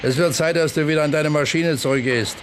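A man's voice: a little high, brisk, a little hiss or hum, lightly acted. Man playing a blunt, stubborn older foreman. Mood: dry, stern. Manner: blunt, dry, unyielding. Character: stubborn, plain-spoken, stoic older male factory foreman. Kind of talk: German radio drama acting.

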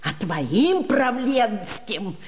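An actress playing an imperious, plaintive old gentlewoman in a radio play, sighing, grumbling and repeating words in a folksy way. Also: on the high side, sing-song, extremely slow, exaggerated voice. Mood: wounded, indignant. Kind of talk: theatrical radio play of a classic short story.

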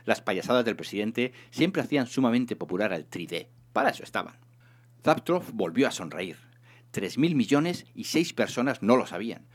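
A man with a fairly low voice reads a comic science fiction story aloud. His intonation moves up and down a great deal, giving the scripted narration an expressive, dramatic storytelling feel. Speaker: audiobook reader, narrator.

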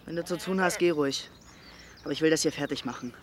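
A man's voice: on the high side, fast talker, slight background noise, close to an everyday voice. An actor playing a stubborn, plain-spoken young village man in a radio drama, blunt and matter-of-fact. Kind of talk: naturalistic German radio drama acting.

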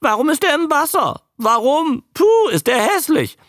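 A man voicing a talking giant salamander in an audiobook, with a croaking, squawky voice, childlike, polite and eagerly parroting what people say. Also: very high voice, big pitch swings, normal speed, a bit bright, no room sound, clean studio sound, voice pushed up, heavily acted. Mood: eager, chattering. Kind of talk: audiobook character voice.

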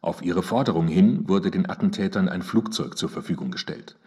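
An actor as a documentary narrator in a radio drama, reading scripted facts in a formal, news-like manner. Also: male voice, deep voice, animated, fairly quick, a little mellow. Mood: sober, factual. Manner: factual, formal. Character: sober male documentary narrator.

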